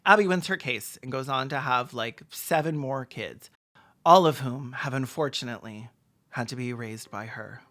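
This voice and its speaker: A video essay host doing sarcastic comedic commentary with mock-serious, deadpan jokes. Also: mid-range voice, normal speed.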